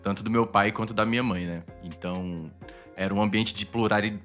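Relaxed rambling Brazilian man. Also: lowish voice, fairly quick, soft background music. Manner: relaxed, rambling, filler-heavy, laughs. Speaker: guest musician. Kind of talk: casual interview chat.

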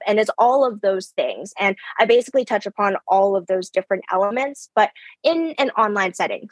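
A woman's voice: medium pitch, slightly rough, no room sound. A young woman, a podcast guest giving conversational answers, articulate with small restarts and light laughs. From Canada. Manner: articulate, repeats words at starts, laughs lightly. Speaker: podcast guest, brand strategist.

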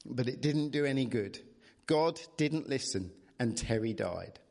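A man's voice: medium pitch, lively intonation, unhurried, creaky, darkish tone. A man voicing a grieving young child from a storybook, earnest, troubled and plaintive. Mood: despondent.

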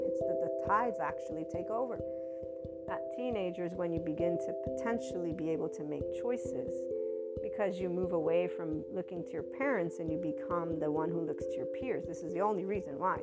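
Woman musing over loud guitar music. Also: fairly low pitch. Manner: rambling, frank, mixes spiritual and therapy jargon. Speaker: spiritual podcast host. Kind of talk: casual spiritual monologue.

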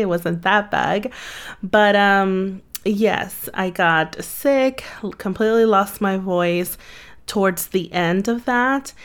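A woman hosting her own podcast, talking through the episode in a casual, conversational way with frequent "um"s. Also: medium pitch. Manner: conversational, unhurried, frequent fillers. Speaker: podcast host and marketing agency CEO. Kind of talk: solo podcast talk.

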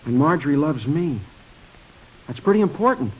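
An earnest young suitor from 1940s radio comedy. His voice sits a little high for a man, and he speaks with careful, hesitant deference. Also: sing-song, moderate pace, a little mellow, slightly rough, low-quality recording. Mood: earnest, nervous. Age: young adult.

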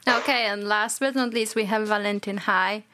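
A woman hosting a podcast panel, introducing guests and asking questions in a friendly, measured way. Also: medium pitch, steady tone, pushed and bright. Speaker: podcast host.

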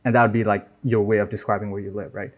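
A man in his twenties from Nepal, chatting casually as an interview guest. He talks in a relaxed, easy way, often dropping "right?" and "man" into his sentences. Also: on the low side, quick pace. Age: 20s. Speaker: software engineer guest. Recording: dull lo-fi audio.

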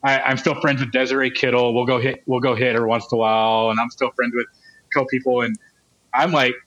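Guy around 30, bright voice, laughs a lot, trips over his words in casual chat. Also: mid-range voice, level tone, fast, muffled recording. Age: 30s. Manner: laughing, repeats words when starting. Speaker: guest.

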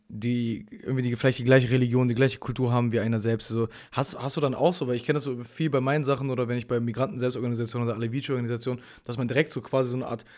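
Fast-talking man hosting a podcast. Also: mid-range voice, darkish tone. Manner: rambling, hesitant questions with many fillers. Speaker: podcast host. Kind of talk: casual interview.

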